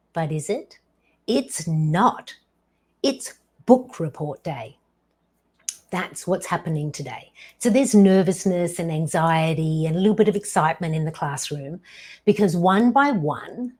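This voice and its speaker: A woman in her fifties hosting her own show, telling a personal story slowly and deliberately, with dramatic pauses. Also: fairly low pitch, a little mellow, husky. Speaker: podcast host.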